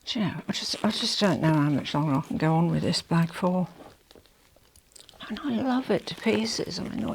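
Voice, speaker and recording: An older woman with a croaky, hoarse throat, chatting casually to camera as a fashion vlogger, rambling with confiding asides. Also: medium pitch, a little mellow, slight background noise. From England.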